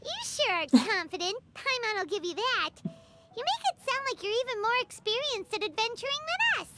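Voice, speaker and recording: A woman's voice: very high-pitched, expressive, moderate pace, very noisy. A woman voicing a tiny, chirpy female fairy companion in a game, who blurts out her thoughts and speaks of herself in the third person. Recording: game audio over music.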